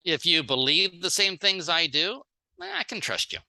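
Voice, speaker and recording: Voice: mid-range voice, very animated, measured pace, bright, forceful tone. A speaker giving a sales training seminar in short plain phrases, acting out little example dialogues. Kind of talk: seminar talk in short phrases, paused for an interpreter.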